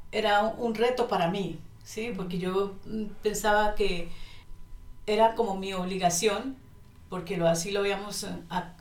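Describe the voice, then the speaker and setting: A woman in her fifties, a guest on a podcast, speaking hesitantly and thoughtfully with many fillers and tag questions. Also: medium pitch. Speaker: guest, economist and Spanish teacher. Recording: roomy, distant from the mic.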